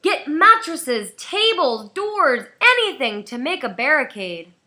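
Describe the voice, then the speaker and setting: A woman voicing an alarmed town mayor in a storybook, commanding the villagers loudly and urgently. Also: high-pitched, sing-song, slow pace, pitched above the performer's own voice, exaggerated voice.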